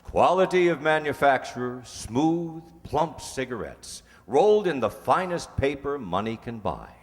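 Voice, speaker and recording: Lively male radio ad voice. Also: mid-range voice, measured pace. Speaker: second advertisement announcer. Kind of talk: 1950s radio cigarette advertisement. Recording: reverberant hall, faint noise.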